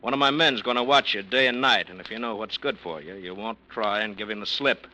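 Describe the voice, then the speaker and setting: A man's voice: on the low side, brisk, pushed and bright, slight background noise, rough recording. An actor playing a blunt, needling male police detective in a 1940s radio drama. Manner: hard-nosed, needling.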